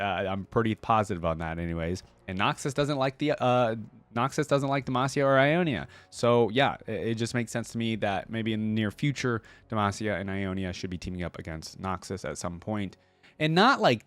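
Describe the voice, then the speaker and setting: Lowish-voiced man, casual reaction host. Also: no echo. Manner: informal, rambling, thinking out loud. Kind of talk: casual gaming lore commentary.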